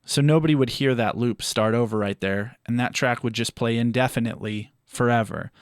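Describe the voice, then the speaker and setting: A man narrates a step-by-step software tutorial. His voice sits at an average male pitch and he explains things in a measured manner. The recording is close and dry, with hardly any room sound. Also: steady tone, no room sound, soft background music. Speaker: audio tutorial presenter.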